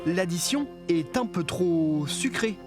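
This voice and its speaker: A man's voice: high voice, expressive, slow pace, music in the background. A man reading scripted reportage narration as the voice-over of a documentary.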